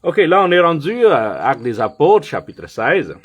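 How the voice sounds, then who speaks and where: A man who is not a native French speaker gives a sermon and Bible teaching. He talks deliberately, with frequent pauses and fillers, and often checks in with his listeners by asking "ok?". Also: fairly high pitch, sing-song. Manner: non-native French with some slips. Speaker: preacher.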